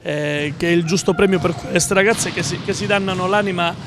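High-pitched male coach over clear noise. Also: fairly quick. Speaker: volleyball coach. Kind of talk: TV interview. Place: large indoor sports hall. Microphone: handheld reporter mic. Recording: loud busy gym background noise.

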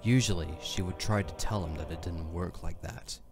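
A man giving a measured, serious narration of a scripted dramatic story. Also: sits low, over music.

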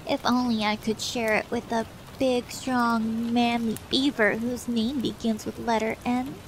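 A woman playing a flirty, soft-spoken cartoon beaver sweetheart. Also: fairly high pitch, fairly even intonation, takes it slowly, slight background noise, poor audio. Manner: soft, affectionate, seductive. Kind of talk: fan fiction dramatic reading. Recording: music behind the voice.

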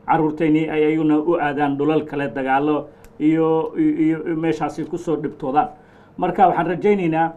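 A middle-aged man from Somalia hosting a TV studio discussion, speaking haltingly with many fillers and restarts. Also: fairly high pitch, darkish tone, highs cut off. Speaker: TV talk show host. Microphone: lapel mic.

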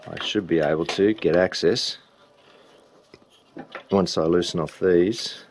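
Aussie or kiwi guy with a low voice, casually explaining a repair step by step. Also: slow, slight background noise. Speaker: repair hobbyist demonstrating a belt change. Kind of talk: casual hands-on repair walkthrough.

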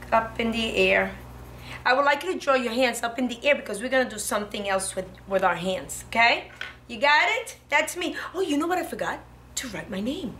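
Female preschool teacher, thirties, bright and higher-pitched, encouraging step-by-step instruction, some room noise behind. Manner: encouraging, with short phrases and frequent check-ins. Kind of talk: simple step-by-step instruction for young children. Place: indoor preschool classroom. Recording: classroom with audible background noise.

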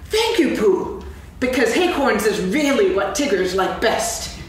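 A woman's voice: fairly high pitch, big pitch swings, a little slow, slight background noise. An actress voicing a bouncy, boastful young male tiger in a staged reading of a children's story, recorded in an echoey hall from a distance. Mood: cheerful.

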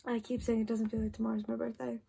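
A woman's voice: fairly high pitch, flat delivery, fast talker, vocal fry, dark tone, breathy edge. An eighteen-year-old woman chatting to camera late at night in her bedroom, tired and rambling with lots of "like" and "literally". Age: 18, about to turn 19. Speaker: vlogger. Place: bedroom at home, indoors.

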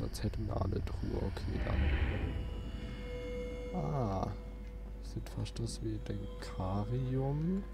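A man's voice: mid-range voice, vocal fry. A man giving casual, chatty let's play commentary, thinking aloud to his viewers. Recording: noisy, game sound behind the voice. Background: video game sound effects and ambience.

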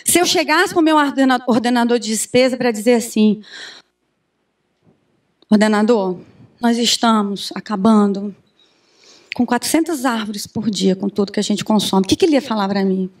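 A Brazilian woman in her thirties giving an animated conference talk on a handheld mic, often asking the audience questions. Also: a little high, moderate pace, long pauses. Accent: Brazilian Portuguese. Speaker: conference speaker. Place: large conference room with audience. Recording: distant room sound from far camera.

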